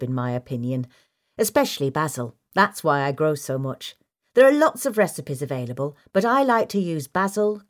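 A woman reading a scripted instructional piece as a narrator. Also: lowish voice. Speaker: narrator reading a gardening article.

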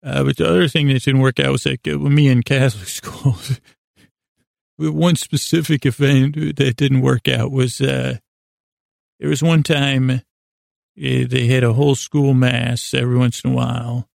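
An American man telling rambling, improvised stories as a podcast host, halting and often interrupting himself with deadpan whimsy. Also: mid-range voice, dry recording, studio quality. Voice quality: gravelly, creaky. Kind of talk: rambling, improvised monologue storytelling.

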